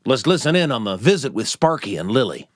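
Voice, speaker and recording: A man's voice: medium pitch, sing-song, fairly quick, no room sound, studio quality. An American man hosting and narrating a story conversationally, with chatty rhetorical asides. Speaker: host. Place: dry studio.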